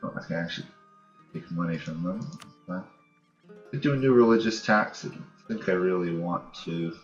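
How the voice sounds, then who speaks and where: A man's voice: low voice, expressive, moderate pace. A man giving casual let's-play commentary on a strategy game, thinking aloud as he plays. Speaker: host of a gaming channel playing a strategy game. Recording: dull, roomy home recording. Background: game music.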